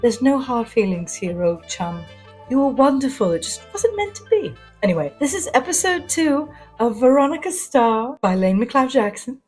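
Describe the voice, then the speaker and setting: A woman's voice: a little high, very animated, normal speed, a little mellow, slightly rough. Theatrical woman storyteller over music. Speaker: story narrator and reader. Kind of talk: first-person dramatic audio fiction narration.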